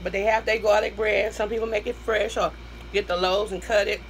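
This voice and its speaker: A hoarse woman with a Southern US accent talks casually to her viewers between mouthfuls, calling them y'all and humming over her food. She sits at a table in a small room at home, close to the camera, with a faint hum of traffic outside. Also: medium pitch, little pitch movement, brisk, low-quality recording. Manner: chatty, addresses viewers as y'all, hums while eating. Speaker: mukbang host. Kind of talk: casual eating-show chat.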